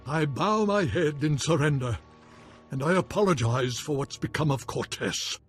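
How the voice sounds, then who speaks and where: An actor playing a proud, imperious baron in a video game, with a husky voice and grand formal delivery. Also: male voice, a little high, lively intonation, normal speed, faint music behind, natural voice. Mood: contrite, formal.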